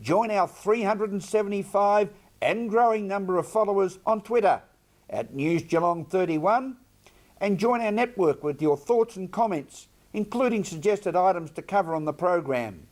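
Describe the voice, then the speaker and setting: Male news presenter, Australian, notably high voice, formal and earnest scripted read. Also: animated, moderate pace, a little mellow.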